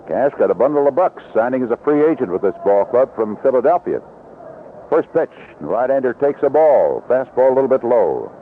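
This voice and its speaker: A man calling live baseball play-by-play on the radio in clipped, unhurried descriptive phrases. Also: medium pitch, very animated. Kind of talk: live radio sports commentary. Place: outdoor ballpark broadcast position. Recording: vintage 1970s radio broadcast, lo-fi, noisy.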